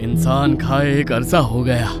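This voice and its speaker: Treacherous leopard baddie, male performer, animated fable, unhurried menace, music bed. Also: medium pitch, lightly acted. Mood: menacing. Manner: menacing, sneering. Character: treacherous, hungry, gloating male leopard villain.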